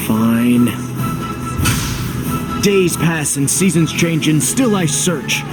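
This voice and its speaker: An actor playing a young game hero who shifts between earnest love declamations and dry deadpan. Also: male voice, fairly high pitch, very slow, loud music behind the voice. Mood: dry, reluctant. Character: young male hero reciting theatrical love speeches, reluctantly wry. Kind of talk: video game cutscene acting.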